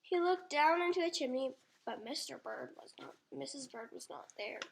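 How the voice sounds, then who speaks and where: A woman reading a storybook aloud to young children in a deliberate manner. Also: high-pitched, animated, vocal fry. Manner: deliberate, addresses child listeners. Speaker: storybook reader. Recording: faint background noise.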